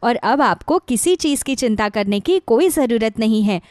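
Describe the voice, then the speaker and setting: A woman's voice: fairly high pitch, expressive, normal speed, a little mellow, dry recording, studio quality, close to an everyday voice. A woman playing a sweet, dutiful young daughter-in-law, eager to please, in a moral story. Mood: reassuring. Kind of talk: acted dialogue in a moral story.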